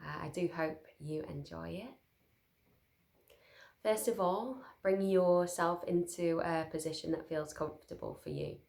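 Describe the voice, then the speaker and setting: A woman leading a guided sleep meditation, speaking soothingly and gently in short, unhurried phrases with pauses. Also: fairly low pitch, gravelly.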